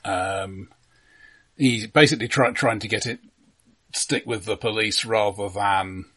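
A man co-hosting a casual film discussion in a halting, wry conversational manner. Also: lowish voice, fairly even intonation, pushed and bright, slightly rough. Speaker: podcast co-host.

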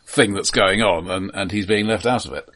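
A man with a low, slightly husky voice talks through a film as a podcast co-host. His phrasing is halting and conversational, with dry asides along the way. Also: fairly bright tone. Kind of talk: casual film discussion.

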